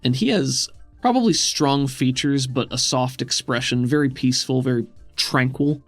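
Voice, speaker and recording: A man runs a tabletop game as its game master. His mid-pitched voice has a noticeable creak as he describes scenes conversationally. Music plays behind him. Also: moderate pace, dry recording. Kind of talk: improvised tabletop game narration.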